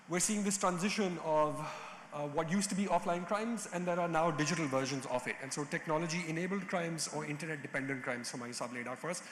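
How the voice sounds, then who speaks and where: A man's voice: a little high, a little hiss or hum. A man moderating a conference panel, polite with some fillers. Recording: echoey hall with faint ambience.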